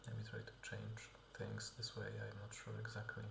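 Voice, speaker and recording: Very low, gravelly-voiced man in a vlog. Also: monotone, moderate pace, roomy sound, background noise. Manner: hesitant, many fillers and restarts, often whispery. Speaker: vlogger and musician. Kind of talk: casual spoken diary.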